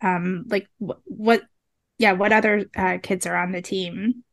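A woman's voice: medium pitch, fairly even intonation, a little slow, fairly bright tone. A woman interviewing a guest in a conversational podcast style, thinking aloud as she forms her questions.

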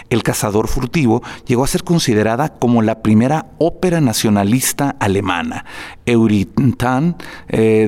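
Gravelly concert presenter. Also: male voice, medium pitch, a little mellow. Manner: reads prepared notes with fillers, restarts and asides. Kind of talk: spoken program notes before a concert.

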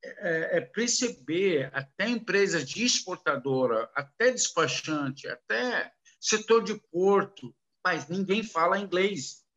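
A man speaking slow, halting, broken Portuguese as a non-native speaker, answering interview questions with many restarts. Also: high-pitched, expressive, bright, forceful tone. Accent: foreign, non-native Portuguese. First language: not Portuguese. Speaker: interviewee, businessman.

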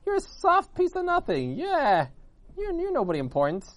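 Loud, boastful talking body part for a children's tale, performed by a man: high, scornful, swooping, spoiling for an argument. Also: huge pitch swings, normal speed, dry recording, exaggerated voice. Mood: mocking. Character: boastful indignant talking body parts, legs and hands, loud.

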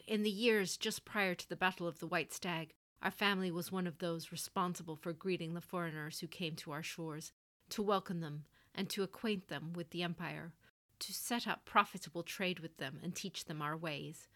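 A woman's voice: on the low side, moderate pace, sharp tone. Severe older noblewoman and general, performed by a female narrator, blunt and commanding, fantasy audio story. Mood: grave, formal.

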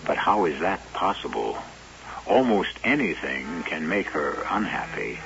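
A man's voice: deep voice, very animated, a little slow, gravelly, a bit bright, a little hiss or hum. A man introducing a radio mystery drama with dry, theatrical wit. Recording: dull old radio broadcast with music.

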